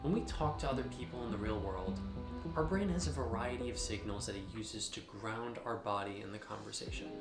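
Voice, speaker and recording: A man giving a scripted explainer in a measured, instructional manner, speaking directly to the listener. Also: mid-range voice, reverberant. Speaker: host of a mental health explainer channel. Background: background music.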